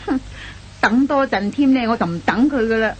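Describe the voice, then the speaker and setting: High-voiced, scolding young girlfriend played by an actress. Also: normal speed, dull audio, natural voice. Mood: exasperated. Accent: Hong Kong Cantonese. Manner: scolding, indignant, emphatic. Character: angry impatient young girlfriend scolding her late boyfriend. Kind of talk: comic radio play acting. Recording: old radio recording with faint noise and hum.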